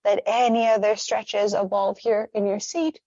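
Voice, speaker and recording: A woman leading a guided meditation with stretches, speaking in a gentle, soothing, unhurried manner. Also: mid-range voice, steady tone, no echo, highs cut off.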